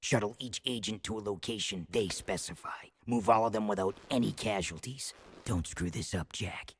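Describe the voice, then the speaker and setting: A gruff underground commander in a video game, acted by a man, stern and curt. His voice is on the low side, with faint noise behind it. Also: moderate pace, lightly acted. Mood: gruff, grave. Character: gruff, no-nonsense male underground resistance commander. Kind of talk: video game cutscene acting.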